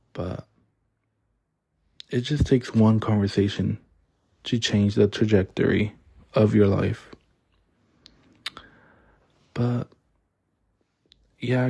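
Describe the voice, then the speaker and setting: A young man speaks slowly and earnestly in a solo podcast monologue, circling back on his words with fillers. His voice sits low and mellow. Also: creaky, slightly rough. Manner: earnest, rambling, repeats himself with fillers. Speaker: podcast host.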